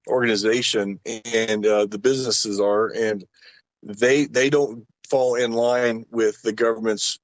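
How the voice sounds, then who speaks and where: Low-voiced man, dull dry audio. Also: no echo. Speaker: panel guest. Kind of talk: casual opinion talk.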